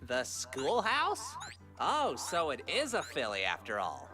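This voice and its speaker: A man voicing an excitable male party pony with a sinister streak in a cartoon-style drama. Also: fairly high pitch, takes it slowly, music in the background, exaggerated voice. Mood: eager. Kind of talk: animated fan fiction audio drama.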